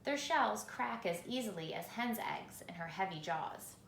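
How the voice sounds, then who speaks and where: A woman reading a children's picture book aloud in a measured, expressive storytelling manner, with pauses for effect. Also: medium pitch, vocal fry. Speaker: reader. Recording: roomy echo, faint background noise.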